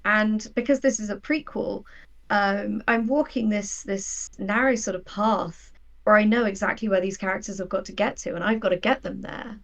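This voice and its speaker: A woman is talking as a guest writer in a relaxed interview. Her voice sits at an ordinary pitch for a woman. She tells things conversationally, often acting out her inner thoughts as quoted lines. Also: moderate pace. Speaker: guest, audio drama writer. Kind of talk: casual interview chat.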